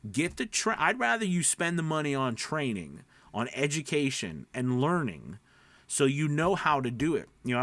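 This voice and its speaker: A man speaks as a coach hosting an advice video, with a widely swinging, animated intonation. His talk is casual and reassuring, full of little check-ins with the listener. The sound is dry, with hardly any room in it. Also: on the high side, no echo. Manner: encouraging, reassuring; conversational, checks in with frequent "right?" and "okay?". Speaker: voice-over coach and video host.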